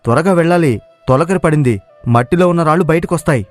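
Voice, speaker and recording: A cocky young idler from a village tale, played by a man, talks fast and fairly high. He is brash and impatient, a lazy dreamer. Music runs underneath. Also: lightly acted. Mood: eager. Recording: music playing behind the voice.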